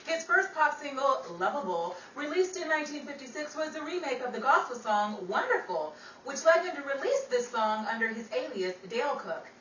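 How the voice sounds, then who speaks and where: A woman's voice: high voice, sing-song, moderate pace, few pauses, fairly bright tone. A woman playing a chatty, wisecracking 1930s radio gossip gal in an old-time radio drama, with theatrical vintage patter. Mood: informative, chatty. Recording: roomy, distant mic with faint noise.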